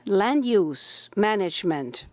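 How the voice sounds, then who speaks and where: A woman delegate making a measured, formal comment in a large conference hall. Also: mid-range voice, animated, darkish tone. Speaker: civil society delegate.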